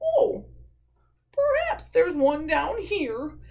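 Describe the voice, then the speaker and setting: A woman's voice: very high-pitched, wildly swooping pitch, creaky, a little mellow, pitched above the performer's own voice, exaggerated voice. A woman voicing a plaintive young male bear cub in a storybook, drawing out whiny words and longing for hugs. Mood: sniffly. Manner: drawn-out, whiny storybook delivery.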